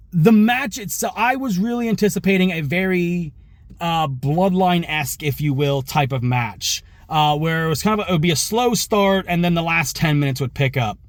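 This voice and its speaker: A man with a fairly high voice and a touch of hoarseness gives his opinions as a show host. The recording is dry and close. He talks casually and conversationally, wandering into asides and correcting himself as he goes. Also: no echo. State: slightly hoarse. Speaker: wrestling reaction show host. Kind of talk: casual opinion commentary to viewers.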